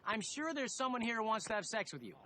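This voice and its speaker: An actor playing a cocky, blunt leader of a rough group of men in a comedy film, commanding and deadpan. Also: male voice, high voice, quick pace, slight background noise, exaggerated voice. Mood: bossy.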